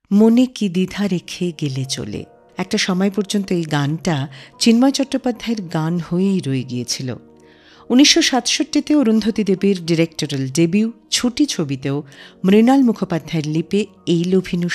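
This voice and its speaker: A woman presenting a radio show in scripted narration. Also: fairly low pitch. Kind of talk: scripted narration about a singer's career. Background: faint music.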